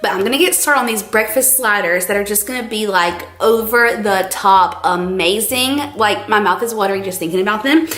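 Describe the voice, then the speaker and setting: A young woman with a cold-roughened, scratchy voice, chatting brightly through a home cooking tutorial. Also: mid-range voice, fairly quick, few pauses. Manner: chatty, bubbly, peppered with "like". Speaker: cooking vlogger. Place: home kitchen.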